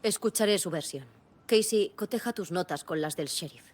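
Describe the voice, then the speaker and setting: An actress playing a composed, sharp female FBI agent in a dubbed video game, speaking in a measured, businesslike, analytical way. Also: lowish voice, natural voice. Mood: calm questioning. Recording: music behind the voice.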